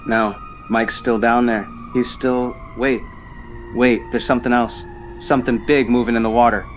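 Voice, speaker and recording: A man playing a panicked young man phoning for emergency help in an audio drama, halting and repeating himself. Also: mid-range voice, fairly even intonation, soft background music, close to an everyday voice. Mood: shocked, distressed.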